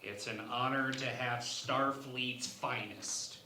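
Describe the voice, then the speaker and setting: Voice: medium pitch, steady tone, takes it slowly, bright, forceful tone. An actor playing an indignant, imperious alien ambassador in a sci-fi parody radio play, with a haughty, sarcastic manner. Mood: dry, wary. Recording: roomy, faint background noise.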